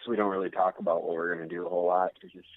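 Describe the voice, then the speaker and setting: A man's voice: sits low, level tone, rapid-fire, no echo. A man from the United States, a musician, chatting casually in an interview in a hesitant, unassuming way. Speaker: rock guitarist being interviewed. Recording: tinny narrow phone-like line.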